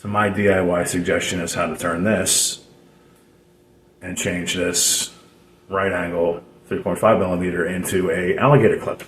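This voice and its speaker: A man giving a casual, unscripted DIY how-to in a halting, rambling way, repeating himself as he explains. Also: low voice. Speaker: DIY radio hobbyist presenter. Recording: roomy echo, noticeable background noise.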